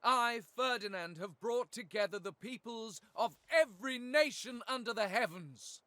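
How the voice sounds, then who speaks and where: A man's voice: extremely high pitch, expressive, moderate pace, pushed and bright, dry recording, heavily acted. An actor playing a proud young king in a video game, declaiming in a stately, solemn way. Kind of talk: video game cutscene acting.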